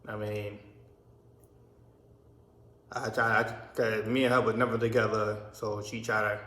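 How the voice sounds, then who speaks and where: Barbados-born man in his 30s, raised in the US, rambling vlog story, monotone, roomy echo. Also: on the low side, unhurried. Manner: rambling, with many restarts and "I mean". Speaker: vlogger. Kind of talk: casual personal storytelling. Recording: echoey room.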